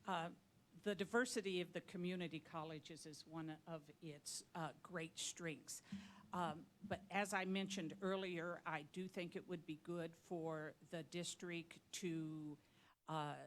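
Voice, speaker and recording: A woman candidate answering forum questions in a measured way, with frequent ums and uhs. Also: lowish voice, fairly even intonation, a little hiss or hum. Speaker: board candidate.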